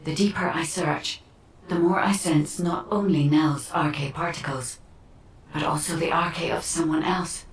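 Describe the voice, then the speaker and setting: A woman voicing a calm, mysterious artificial intelligence guide in a video game, formal and breathy, with an ethereal echo. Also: low-pitched, unhurried. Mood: calm, puzzled. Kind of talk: dramatic video game cutscene acting. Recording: echoing, distant-sounding processed voice.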